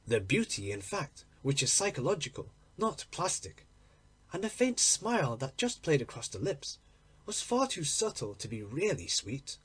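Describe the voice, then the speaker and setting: Higher-pitched man narrating with lively intonation. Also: moderate pace, husky. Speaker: audiobook reader. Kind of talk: expressive literary story narration.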